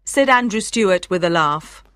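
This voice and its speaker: A woman reading scripted audiobook narration, telling a story with clear articulation for language learners. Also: mid-range voice, animated, normal speed, a bit bright.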